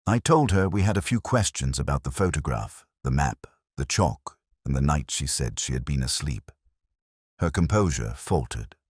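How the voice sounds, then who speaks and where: Very deep, brooding detective narrator played by a man. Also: husky, no room sound, clean studio sound. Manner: measured, solemn, reflective. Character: brooding, introspective male police detective, first-person narrator. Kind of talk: literary scripted mystery narration.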